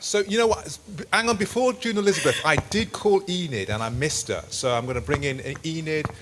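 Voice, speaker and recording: A reverend chairing a community Q&A in a brisk, informal way, calling on speakers and adding questions. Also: male voice, on the high side, a bit bright, a little hiss or hum. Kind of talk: moderating a Q&A.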